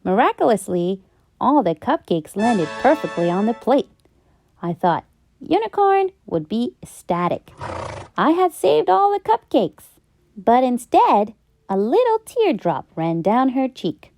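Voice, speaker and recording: A woman narrating a children's story in an expressive, theatrical and emphatic storytime style. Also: on the high side. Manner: theatrical, emphatic, drawn-out delivery. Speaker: children's storybook read-aloud host. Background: background music.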